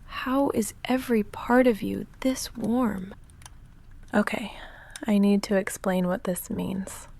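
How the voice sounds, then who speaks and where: A woman playing a shy, lovestruck vampire in an intimate ASMR roleplay, speaking softly and breathily with halting, confessional hesitation, often in a whisper. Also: medium pitch, steady tone. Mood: nervous, tender. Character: shy lovestruck female vampire roommate, confessing and self-restrained.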